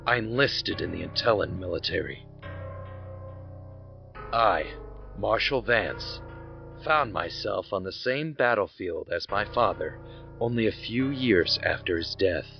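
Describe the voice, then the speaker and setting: An actor playing a grimly determined young soldier out for vengeance, narrating an audio drama in a solemn, brooding, deliberate way. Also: male voice, on the low side, expressive, gravelly. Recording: slightly muffled, under loud music. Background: loud music.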